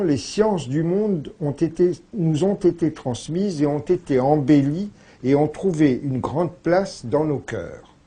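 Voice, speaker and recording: A man's voice: on the high side, mellow, breathy edge. A man speaking as a scholarly interview guest, explaining conversationally in a discursive, emphatically agreeable way.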